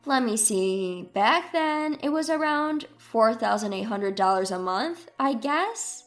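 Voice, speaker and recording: A woman's voice: fairly high pitch, lively intonation, slow pace, soft background music. A woman playing a scornful, newly independent ex-wife in a story, mocking and cutting. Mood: smug. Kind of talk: dramatized storytelling dialogue.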